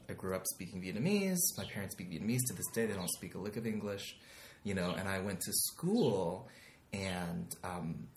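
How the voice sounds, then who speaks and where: A man's voice: low-pitched, roomy sound, a little hiss or hum. A man guesting on a podcast, chatting casually with many likes and ums, recorded in a living room. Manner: rambling, lots of likes and ums. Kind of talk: casual chat.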